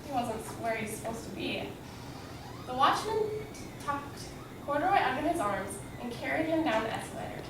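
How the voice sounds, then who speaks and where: A fairly high-voiced woman reads a children's book aloud as a storyteller. She sounds away from the mic, with heavy echo in the room. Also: lively intonation, moderate pace. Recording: echoey, noisy room, distant mic.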